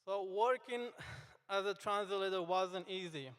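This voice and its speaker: A high-voiced young man from Afghanistan, a native Dari speaker, addresses graduates at an unhurried pace and in an earnest, halting manner. His voice is smooth and clear, and it carries a long reverb through a large hall. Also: fairly bright tone. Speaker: commencement speaker. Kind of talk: speech to graduates.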